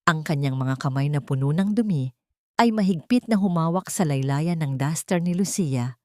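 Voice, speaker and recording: A woman's voice: low voice, normal speed, darkish tone, no room sound, studio quality. A woman with a Filipino accent reading a dramatic scripted story as an audiobook narrator.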